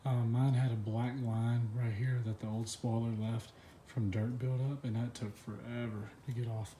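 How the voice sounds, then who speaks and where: A man's voice: medium pitch, level tone, normal speed, warm tone, slight background noise. A man hosting his own channel, talking viewers through a hands-on car job in a laid-back, chatty way with lots of "um" fillers. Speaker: car-modding channel host. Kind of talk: casual how-to walkthrough.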